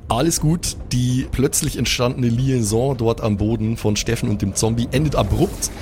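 A man's voice: mid-range voice, normal speed, a little mellow, slight background noise. Man narrating as game master. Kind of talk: improvised narration of a pen and paper audio play.

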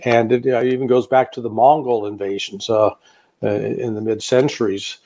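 An American man talking at an ordinary mid pitch, steady and deliberate as he works through a long answer. He pauses often, filling the gaps with small hesitations and restarts, like a guest analyst laying out a case in an interview. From United States. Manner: measured, with frequent fillers and restarts. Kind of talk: interview commentary.

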